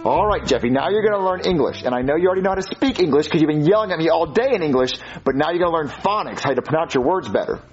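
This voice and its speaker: A man's voice: a little high, lively intonation, very fast, few pauses, muffled recording, faint music behind, lightly acted. A man playing an exasperated father in a comedy skit, flustered and stammering as he pleads with and tutors his child. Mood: instructing.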